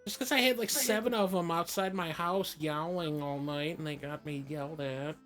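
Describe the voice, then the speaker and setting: A woman voicing a feisty, stubborn young adventurer girl in an amateur game fan dub, sarcastic and quick to snap. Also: low-pitched, a bit bright, soft background music. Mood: indignant.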